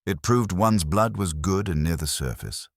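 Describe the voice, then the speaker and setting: A man narrating a wry mystery story in a literary, measured, dryly ironic manner. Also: low-pitched, lively intonation, no room sound, clean studio sound.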